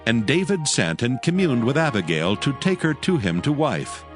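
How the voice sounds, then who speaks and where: A man narrating a dramatized audio Bible in a solemn, measured storytelling style. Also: mid-range voice, very animated, music in the background.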